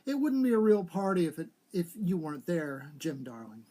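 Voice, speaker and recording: A man's voice: a little high, big pitch swings, moderate pace, dark tone. Man reading as a wheedling young society woman. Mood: wistful pleading. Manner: coaxing, gushing. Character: wheedling younger female socialite, willful and dramatic.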